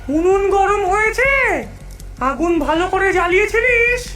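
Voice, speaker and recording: Very shrill, cruel old witch. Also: expressive, fairly bright tone, faint music behind. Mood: menacing, bossy. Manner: menacing, scolding, drawn-out delivery. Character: cruel, greedy old child-eating witch. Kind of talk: animated fairy-tale voice acting.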